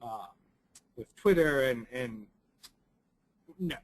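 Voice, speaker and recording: Young guy a bit raspy from a cold, giving a talk, lots of ums and restarting sentences. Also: mid-range voice, lively intonation, rough recording. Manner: halting, many ums and restarts. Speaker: presenter. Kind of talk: recorded conference presentation.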